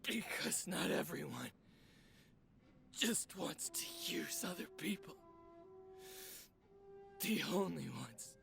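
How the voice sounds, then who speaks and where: Defiant, righteous hero, creaky and emotional. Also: male voice, on the high side, very animated, takes it slowly, pauses often, a bit bright, faint music behind, heavily acted. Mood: dying, resolute. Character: righteous, defiant man, wounded and facing death. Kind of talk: dramatic video game acting.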